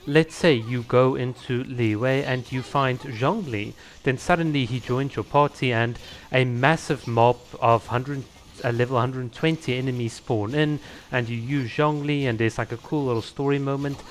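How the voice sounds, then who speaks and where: A man's voice: medium pitch, steady tone, normal speed. A man talking casually to viewers as a video creator, explaining his opinions and laying out ideas with examples.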